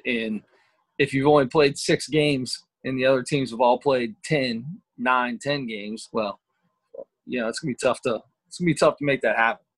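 A man of middle pitch talks casually about sports. He rambles on in an upbeat way, like a positive thinker. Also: husky. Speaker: podcast co-host.

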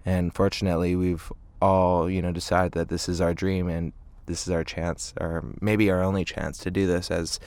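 A man in his late twenties chatting casually as an interview guest, thoughtful and halting in his phrasing. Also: low voice, level tone, a little mellow, no room sound. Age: late 20s. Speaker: indie band frontman, interview guest.

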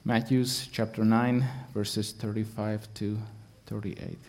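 A man's voice: lowish voice, level tone, a little mellow. Earnest Latvian-accented man preaching. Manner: halting English with many fillers, earnest. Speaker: guest preacher. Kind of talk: sermon.

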